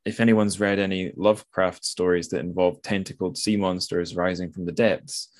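A man's voice: sits low, fairly even intonation, moderate pace. A man hosting a casual literary discussion, thinking aloud and correcting himself as he goes. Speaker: podcast host.

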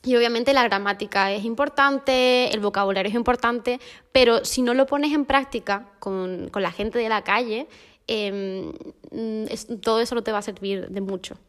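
Una mujer joven con acento de España, invitada en un pódcast, charlando y contando anécdotas entre risas. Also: female voice, a little high, normal speed, fairly bright tone, smooth. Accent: Spain Spanish. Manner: chatty, laughing storyteller. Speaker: podcast guest. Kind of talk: casual conversation.

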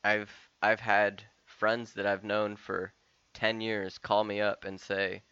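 A man's voice: on the low side, little pitch movement, takes it slowly, pushed and bright, clean tone, dry recording, dull audio. A man of about thirty talking casually and candidly in a rambling solo podcast monologue, often restarting and swearing. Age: 30s. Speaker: podcast host.

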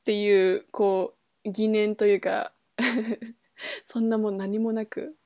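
A woman's voice: mid-range voice, level tone, takes it slowly, a little mellow, dry recording, studio quality. A woman co-hosting a podcast in casual, colloquial conversation. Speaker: podcast co-host, performing musician. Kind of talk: casual chat.

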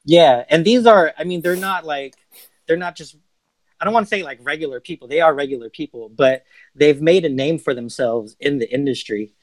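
A man, a music producer, talking as a podcast guest in relaxed casual answers, with many ums. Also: fairly high pitch, moderate pace, no echo.

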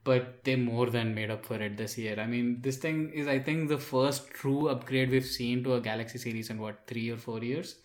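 In a noticeably echoey room, a man with a medium-pitched voice talks tech as a podcast co-host. His delivery is casual, opinionated and enthusiastic. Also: flat delivery, moderate pace, hardly any pauses. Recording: roomy, echoing room sound.

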